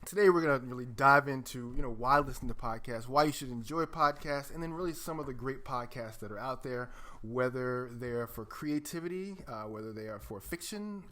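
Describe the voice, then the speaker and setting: A man's voice: mid-range voice, fairly quick, bright, forceful tone. A man hosting a podcast in a casual, chatty, conversational way. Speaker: podcast host.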